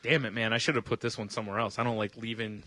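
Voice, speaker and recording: A man's voice: fairly low pitch, steady tone, racing, sharp tone, dry recording. A man co-hosting a comedy podcast, riffing casually with crude jokes and often talking with a laugh in his voice. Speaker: podcast co-host. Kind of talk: casual comic banter and riffing.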